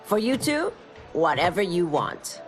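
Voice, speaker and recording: An older female mentor in a video game, stern yet fond. Her low voice is teacherly and moves expressively, over faint music. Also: big pitch swings, measured pace. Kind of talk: video game cutscene acting.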